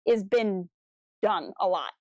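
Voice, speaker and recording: A woman's voice: mid-range voice, slow pace, darkish tone, no room sound, clean studio sound. A woman co-hosting a podcast in casual discussion, thinking aloud in hesitant, broken phrases. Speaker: podcast co-host. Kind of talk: casual discussion of a fan audio drama review.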